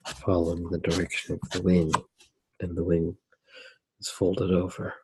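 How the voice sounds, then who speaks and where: A man narrating his drawing for ASMR in a soft-spoken, unhurried way, musing aloud as he works. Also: sits low, completely flat delivery, very noisy. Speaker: ASMR artist.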